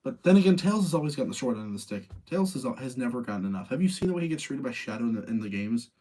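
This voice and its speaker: A man's voice: mid-range voice, expressive, rapid-fire, dark tone. A man hosting in a casual, informal chat style, freely giving his own opinions as he talks to listeners. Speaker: host of a live ranking show about video game movies.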